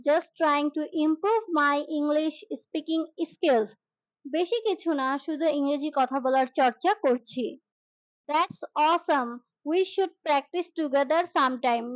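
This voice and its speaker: A woman with a distinctly high voice reads out a scripted language lesson. Her delivery is measured and deliberate, like a teacher modelling each line. The recording is dry and a little muffled. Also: no echo. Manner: slow deliberate English, brisk translations. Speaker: English teacher.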